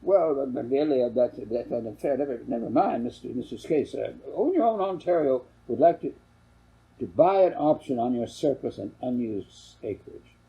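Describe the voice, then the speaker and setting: A man's voice: mid-range voice, expressive, moderate pace, vocal fry, warm tone, low-quality recording. A man voicing a slick, ingratiating land salesman who blusters and stammers in a storybook reading. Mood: flustered. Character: slick, flustered salesman pushing a land scheme.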